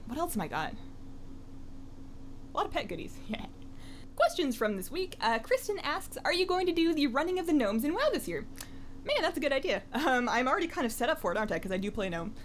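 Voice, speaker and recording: A woman with a slightly high voice talks casually to her viewers as a gaming vlogger. Her delivery is conversational and rambling, with a self-deprecating streak. There is some light noise behind her. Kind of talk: casual chatty vlog about an online game.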